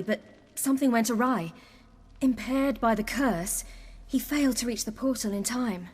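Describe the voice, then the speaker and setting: An actress playing a young hunted woman warrior in a video game, earnest, wry and defiant, telling things in a measured way. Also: mid-range voice, darkish tone, slightly rough, big-room sound, natural voice. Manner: measured, earnest storytelling with dry wit. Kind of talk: video game dialogue acting.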